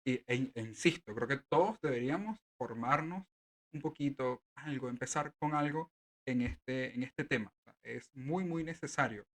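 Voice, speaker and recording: A man's voice: mid-range voice, normal speed, a bit bright. A man hosting a casual talk show, chatty and agreeable, often affirming his guest with short replies. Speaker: podcast host. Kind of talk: casual chat.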